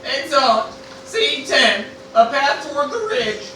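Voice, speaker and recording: A woman's voice: sits high, big pitch swings, slow, fairly bright tone. A woman narrator reading out scripted stage directions in an amateur radio play. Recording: very echoey, slightly distant, faint noise.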